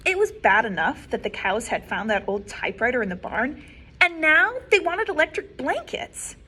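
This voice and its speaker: A woman librarian reading a picture book aloud to children, expressive and animated, with soft whispered asides. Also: high-pitched, normal speed, husky. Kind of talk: children's story time read-aloud.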